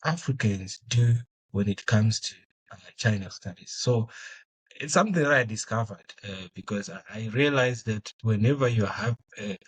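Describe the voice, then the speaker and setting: Husky young Zimbabwean man, halting. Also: lowish voice, poor audio. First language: Shona. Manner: thoughtful, many fillers and restarts. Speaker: guest. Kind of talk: conversational interview answers.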